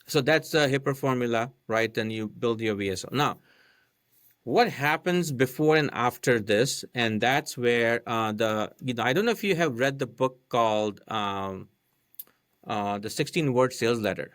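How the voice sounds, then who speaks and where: Non-native english guy, podcast guest, talks it through step by step, average pitch, dry mic. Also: moderate pace, fairly bright tone, no room sound. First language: not English. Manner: explains step by step, with frequent "right?" and fillers. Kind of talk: casual explanatory chat.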